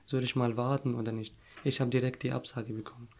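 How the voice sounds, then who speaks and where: A young man whose first language is Arabic, telling his story in an interview, pausing often to search for words. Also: medium pitch, little pitch movement, a little mellow. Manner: halting, searching for words, frequent fillers. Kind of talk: casual personal story.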